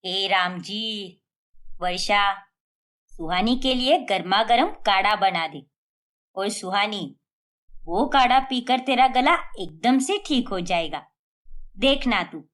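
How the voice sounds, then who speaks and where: A woman's voice: medium pitch, expressive, a bit bright, voice pushed down. A woman voicing a melodramatic old mother-in-law. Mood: doting. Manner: pleading, melodramatic, drawn-out words. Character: dramatic older mother-in-law, doting and threatening. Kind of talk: cartoon story voice acting.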